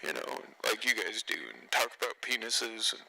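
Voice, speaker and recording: A man's voice: fairly low pitch, sing-song, normal speed, sharp tone. A man in casual, joking radio chat as a CB operator, teasing and mocking others. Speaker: CB radio operator on a live feed. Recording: noisy live radio feed, clear hiss.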